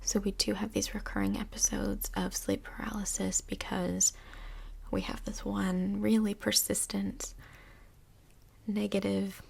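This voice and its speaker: A woman playing a calm, tactful counsellor in Hell in an ASMR role play, speaking softly in a whispery, crackly voice with gentle, hesitant phrasing. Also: medium pitch, creaky, husky, heavily acted. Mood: gently coaxing. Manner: gentle, hesitant, diplomatic therapist talk.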